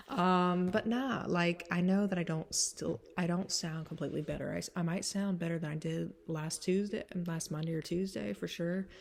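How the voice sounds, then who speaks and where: A woman's voice: fairly even intonation, normal speed, vocal fry. A young woman with a stuffy, congested voice, chatting casually and rambling through an introduction before singing a cover song. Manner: rambling, many fillers, swings up high when emphatic. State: stuffy nose from sinus trouble.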